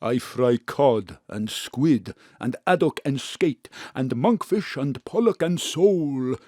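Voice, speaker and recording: A man's voice: mid-range voice, lively intonation, takes it slowly, a little mellow, dry recording, clean studio sound. A man playing a flirtatious Greek landlord and fish fryer in a comic audio drama, with a Greek accent and showy broken English. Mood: showy, proud.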